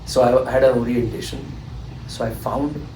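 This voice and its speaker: A man's voice: mid-range voice, fairly even intonation, normal speed, noisy background. Indian man in an echoey room. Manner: rambling, hesitant with fillers and restarts. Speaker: SAT tutor, guest. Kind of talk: anecdotal storytelling in an interview. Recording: echoey room, distant mic, humming air conditioning.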